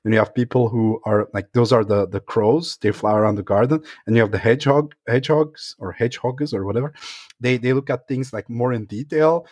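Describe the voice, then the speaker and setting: A man's voice: medium pitch, a little fast, a little mellow, slightly rough, dry recording. A man hosting a technology podcast, talking in a hesitant, casual way with many fillers. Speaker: podcast host. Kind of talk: casual interview chat.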